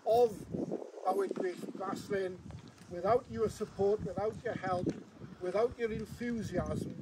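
Chairman giving an earnest outdoor welcome. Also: sits high. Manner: earnest, thanking, switches languages. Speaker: charity chairman. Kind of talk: formal welcome speech. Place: outdoors on a wooden platform. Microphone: far camera. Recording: distant outdoor recording with background noise.

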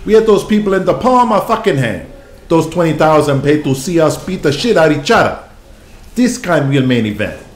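A hot-headed pro wrestler who barks, curses and demands his due. His voice swings widely in pitch. He sounds brash and pushy, like he is ready to fight. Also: big pitch swings, normal speed, echoey room. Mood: fired up. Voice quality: loud, swearing, shouting.

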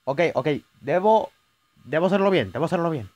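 A young man with a Chilean accent commentating a horror game, yelling at jump scares and rambling between them. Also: on the high side, animated, fairly quick, no echo. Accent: Chilean Spanish. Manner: jumpy, shouting at scares, rambling asides. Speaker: gaming youtuber. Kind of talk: let's play commentary.

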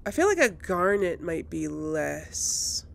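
A woman's voice: deep voice, big pitch swings, takes it slowly, creaky. A woman doing casual let's play commentary as a gaming host, musing and thinking aloud.

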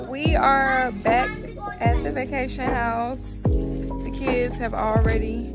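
A woman's voice: high-pitched, takes it slowly. A young American woman chatting casually and emphatically to her vlog audience, repeating herself, into a phone held at arm's length. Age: young adult. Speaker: family vlogger. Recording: loud music under the voice.

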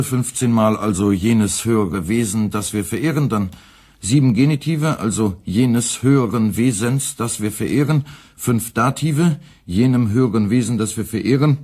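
A man playing a young radio editor in a radio play, formally polite, meticulous and quietly needling, with a husky voice. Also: medium pitch, close to an everyday voice. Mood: pedantic, formally polite. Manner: formal, meticulous, deliberately enunciated.

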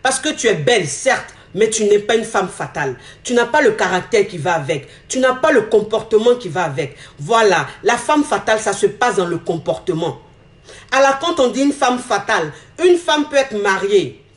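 A woman, a relationship coach speaking to her phone camera with emphatic repetition and a slightly hoarse, cold-touched voice. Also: medium pitch, sing-song, normal speed. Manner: emphatic, repeats phrases, gestures a lot while talking. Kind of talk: animated advice monologue to camera. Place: indoor room. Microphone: phone camera at arm's length. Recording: echoey room on phone.

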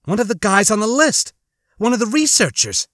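A man's voice: high voice, big pitch swings, moderate pace, a bit bright, no echo, studio quality, pitched above the performer's own voice. A man voicing an alert, earnest young woman survivor in an audiobook. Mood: excited, urgent.

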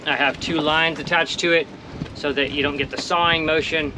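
A man's voice: a little high, fairly even intonation, normal speed. A man of about forty chats casually to the camera in a laid-back, plain-spoken way, outdoors on a sailboat. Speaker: solo sailor and vlogger. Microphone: action camera at close range. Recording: wind noise on camera mic. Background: wind and water.

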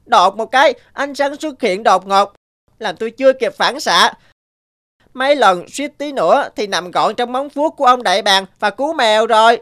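A woman voicing an indignant, aggrieved bird in an animated fairy tale. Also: on the high side, normal speed.

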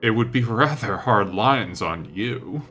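A man's voice: lowish voice, animated, measured pace, sharp tone, soft background music. A man voicing a suave, nonchalant aristocrat in an audiobook, amused and assured, with a languid manner.

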